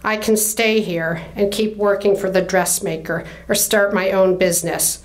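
A woman's voice: mid-range voice, fairly even intonation, moderate pace, natural voice. A woman reads the part of a restless young daughter from a literary novel. She is insistent and defiant, pressing with pleading questions in a voice that lifts slightly.

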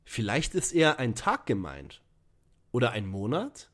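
A man's voice: medium pitch, wildly swooping pitch, measured pace, a bit bright, full character voice. A man playing a sarcastic, grumbling young detective with a sceptical manner in an audio drama parody. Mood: sardonic. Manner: dry, sceptical, sardonic.

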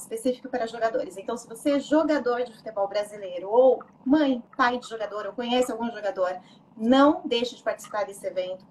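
Woman, 30s, from Brazil, livestream host, lively, slightly high voice, webcam mic, small home office. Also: slight background noise, rough recording. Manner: lively, mixes Italian words into Portuguese. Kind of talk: casual live-stream interview.

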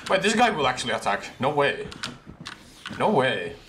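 A young man casually commentating a horror game he streams, breaking into high surprised laughs. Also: sing-song, moderate pace, fairly bright tone, slight background noise. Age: young adult. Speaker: gaming streamer. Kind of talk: casual let's-play commentary. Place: room at a desk with a gaming chair. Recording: game music playing behind. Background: game music and keyboard typing.

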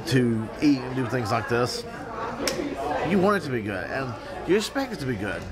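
A man's voice: medium pitch, big pitch swings, moderate pace. A man in his thirties reviewing a restaurant in casual, rambling, emphatic vlog talk, filmed on a handheld camera in a restaurant booth. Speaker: food vlogger. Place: busy restaurant booth indoors. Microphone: handheld camera at arm's length. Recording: loud restaurant music and crowd. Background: loud music and crowd chatter.

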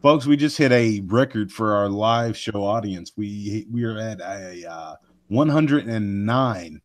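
A man hosts a live show in a deep, low voice that carries without effort. He rambles in a folksy way, full of fillers and asides to his listeners. The sound is dry and close, with no room around it. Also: a little slow, no room sound. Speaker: host of a cryptid research live show. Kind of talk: casual live podcast chat.

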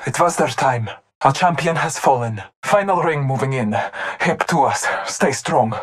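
A woman's voice: a bass voice, animated, measured pace, heavily acted. A woman voicing a solemn, devout masked hunter in a video game, with a Nordic accent, formal grave phrasing and a muffled mask filter. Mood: grave, resolute. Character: solemn, devout masked hunter-warrior, androgynous, reverent and fierce. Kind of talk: video game character voice lines.

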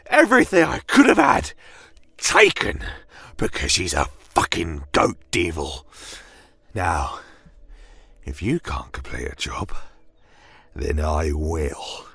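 A man's voice: extremely low pitch, big pitch swings, vocal fry, fairly bright tone, husky, no room sound, heavily acted. A man playing a bitter, enraged village barkeep who snarls with zealous fury in a fantasy audio story. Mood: bitter rage. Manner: snarling, foul-mouthed, drawn-out furious delivery. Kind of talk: fantasy audio drama acting.

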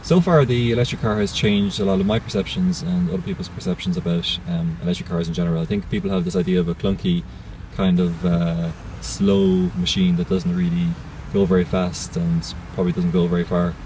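Deep-voiced man reviewing a car. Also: fairly quick, hardly any pauses. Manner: relaxed, plain explaining with fillers. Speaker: tech reviewer. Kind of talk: casual first-person car review. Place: inside a moving car, and outdoors on a city street. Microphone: phone camera held close. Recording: noisy car and street sound.

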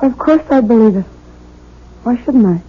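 An actress playing a fragile, earnest young bride in a 1970s radio drama. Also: female voice, fairly high pitch, animated, normal speed, slightly rough. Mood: gentle, encouraging. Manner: earnest, emphatic. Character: fragile, distraught young bride, desperately insistent. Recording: muffled, noisy 1970s radio recording.

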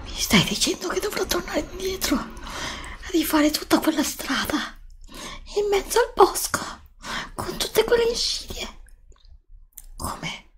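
A woman playing an earnest, bewildered chosen heroine in an ASMR roleplay, breathy and questioning, close to the microphone. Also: very high voice, sing-song, measured pace, long pauses, slight background noise, pitched above the performer's own voice, heavily acted. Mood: bewildered, anxious. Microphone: close desktop USB microphone.

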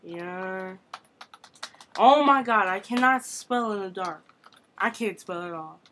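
A woman giving casual commentary on her own gameplay, thinking aloud with quick exclamations, at a desk mic at her computer. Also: medium pitch, animated, a bit bright, slight background noise. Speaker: gamer recording her play.

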